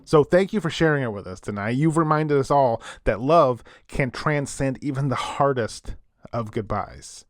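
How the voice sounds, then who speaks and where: A man plays a late-night host of a spooky eighties radio show. He talks casually and sympathetically, in a folksy, off-the-cuff way. His voice sits at an average pitch in a very dry studio. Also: lively intonation, moderate pace, no room sound, natural voice. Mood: appreciative.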